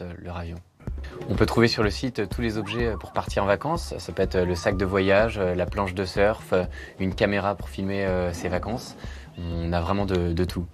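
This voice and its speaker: A very low-voiced man explains his lending platform for a TV report. He talks steadily, dropping frequent fillers between items. Soft music plays underneath. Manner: fluent with many fillers. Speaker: startup founder interviewed. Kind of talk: explaining a project in an interview.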